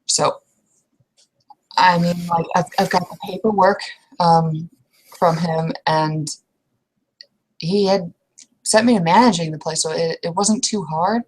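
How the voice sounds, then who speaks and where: A woman playing an anxious young female restaurant manager in a roleplay, speaking in a hesitant, halting way. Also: on the low side, steady tone, husky, poor audio. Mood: stressed, worried. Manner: hesitant, halting, fragmented speech.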